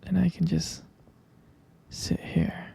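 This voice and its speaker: A tender vampire lover in a romantic ASMR roleplay, murmuring in a husky, very dark whisper full of gravelly fry. The delivery is intimate and adoring, with soft hesitant pauses close to the mic. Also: medium pitch, very animated. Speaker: ASMR performer playing a loving partner.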